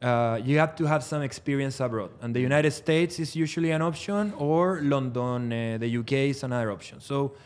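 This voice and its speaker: A man's voice: medium pitch, a little fast, hardly any pauses, smooth. A man in his thirties from Chile, a Spanish native speaker with a Chilean accent, explaining things step by step as a guest in a podcast interview. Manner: thoughtful, explains step by step with many fillers and restarts. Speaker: trial lawyer, podcast guest. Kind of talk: casual interview chat.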